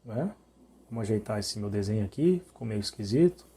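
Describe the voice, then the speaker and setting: A hoarse man teaching musical intervals, singing note names to demonstrate them and checking in often with short questions. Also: on the low side, lively intonation, a little fast, warm tone. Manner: sings interval notes to show them. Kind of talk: sung and spoken music lesson.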